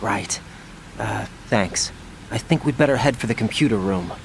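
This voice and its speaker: An actor voicing a serious, sceptical young male soldier in a video game, questioning in a terse, steady way. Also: on the low side, moderate pace, creaky, a little hiss or hum. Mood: subdued. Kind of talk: scripted game cutscene dialogue.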